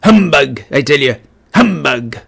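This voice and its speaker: A man's voice: medium pitch, very animated, unhurried, creaky, a bit bright, husky, full character voice. A man voicing a frightened, skeptical old miser in a storybook, speaking emphatically and theatrically. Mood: scornful.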